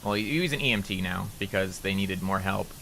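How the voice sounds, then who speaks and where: A man's voice: sits low, fairly quick, gravelly, sharp tone, a little hiss or hum. A man streaming while he plays a game, chatting casually and rambling with plenty of "like" fillers. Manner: rambling, loose talk full of "like" fillers. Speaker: VTuber streamer.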